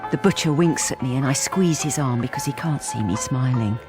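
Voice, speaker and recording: A woman's voice: very deep voice, brisk, over music. An actress playing a lonely, wistful housewife narrating her own story in a radio drama, confiding and understated. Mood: wry, confiding.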